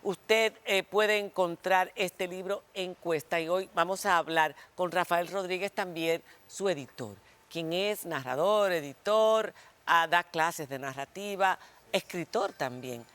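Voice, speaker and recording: A woman's voice: fairly low pitch, a little slow, a bit bright, clean tone. Woman hosting a talk show. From Dominican Republic.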